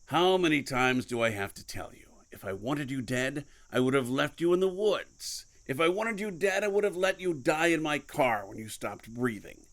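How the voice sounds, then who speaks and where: Man acting a gravelly, secretive mentor. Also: on the high side, expressive, moderate pace, few pauses, fairly bright tone. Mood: stern, aggrieved. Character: secretive, commanding, guilt-burdened older male mentor and survivor. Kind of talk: audio fiction podcast acting.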